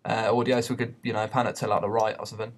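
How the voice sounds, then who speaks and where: Male tutor, lowish monotone voice, hesitant, talking through an unscripted screen-recorded lesson. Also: racing. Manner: hesitant, explanatory. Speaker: media teacher giving a software tutorial.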